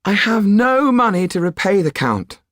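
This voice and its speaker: A man's voice: sits high, sing-song, moderate pace, fairly bright tone, dry recording, clean studio sound, voice pushed up. A man voicing a desperate aristocratic Frenchwoman in an audiobook, pleading and warning with imploring emphasis.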